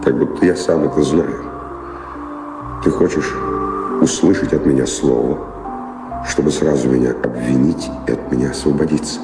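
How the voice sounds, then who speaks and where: A weary, dreamy middle-aged wanderer in an audio drama, with a very deep, gravel-edged voice. He speaks evasively and reflectively, with measured pauses, in a space with long reverb. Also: steady tone. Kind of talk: theatrical audio drama dialogue. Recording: reverberant stage-like sound, loud music behind.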